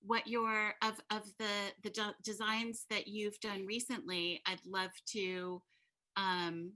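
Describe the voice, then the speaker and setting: A woman hosting a casual interview, effusive and quick with compliments. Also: medium pitch, flat delivery, bright, forceful tone. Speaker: podcast host.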